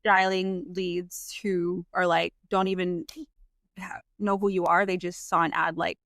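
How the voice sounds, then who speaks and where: A woman's voice: fairly low pitch, flat delivery, a little slow, a bit bright, dry recording, studio quality. A woman hosting an interview podcast over a video call, bubbly and effusive, laughing often. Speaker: podcast host and sales coach. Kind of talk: casual interview chat.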